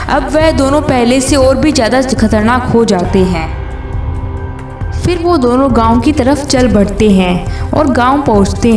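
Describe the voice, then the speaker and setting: A woman reading a scripted children's story as its narrator. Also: a little high. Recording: roomy distant sound, music behind.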